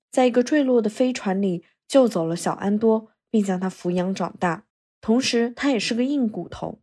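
Bright, husky female podcast narrator. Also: mid-range voice. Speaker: podcast host. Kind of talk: scripted narration. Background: film score music.

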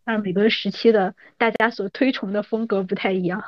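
A woman's voice: mid-range voice, fairly even intonation, a little fast, no echo, dull audio. A woman in her thirties hosting a casual podcast chat in an agreeable, conversational way. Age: 30s. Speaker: podcast host.

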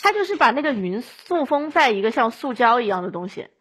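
A woman from Chongqing, China, chatting casually as a podcast guest in an animated storytelling way. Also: on the high side, fairly quick, husky.

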